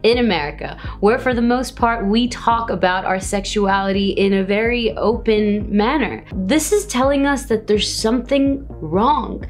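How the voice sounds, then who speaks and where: A woman's voice: mid-range voice, normal speed, hardly any pauses, soft background music. A woman from Arabia, hosting a direct-to-camera video diary in a frank, playful, candid way. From Saudi Arabia.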